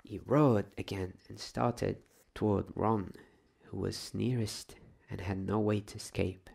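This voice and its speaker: A man narrates a bedtime story in a soft ASMR style. His voice is low and husky, with plenty of creak, and he takes his time, hushed and at times whispering. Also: fairly even intonation, takes it slowly. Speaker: storyteller reading aloud.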